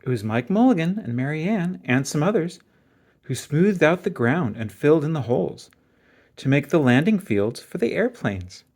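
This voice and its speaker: Animated man reading a children's storybook. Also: on the high side, normal speed. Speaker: reader of a children's picture book. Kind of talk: storybook read-aloud.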